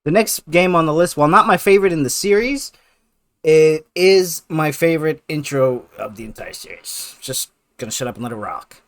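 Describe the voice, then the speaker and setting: A man giving casual, slangy, profanity-laced video game commentary in an excitable, hyped manner. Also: on the high side, moderate pace, no echo. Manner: excitable, hyped, punctuating talk with exclamations. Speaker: video host reacting to favorite game intros. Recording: music playing behind the voice.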